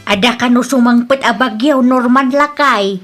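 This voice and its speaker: A woman plays an elderly Filipino mother in a family audio drama. Her voice is high, and she speaks with gentle, prayerful concern for her household. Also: moderate pace, faint music behind, natural voice. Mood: worried.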